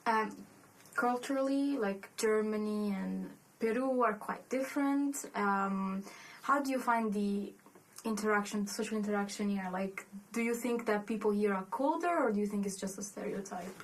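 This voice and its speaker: A woman hosting a podcast interview, asking questions and encouraging her guest with soft "mm-hmm"s. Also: mid-range voice, moderate pace, poor audio. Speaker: podcast host. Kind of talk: casual interview.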